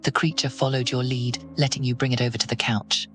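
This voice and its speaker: A man narrating a horror audio roleplay in the second person, with a steady, ominous delivery. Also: mid-range voice, fairly quick, soft background music.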